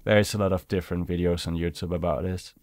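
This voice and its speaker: A man's voice: low-pitched, steady tone, quick pace, a little mellow, dry recording. A young man whose first language is Danish, talking casually in English over game footage, laid-back and chatty. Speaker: YouTuber. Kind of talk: casual commentary over gameplay.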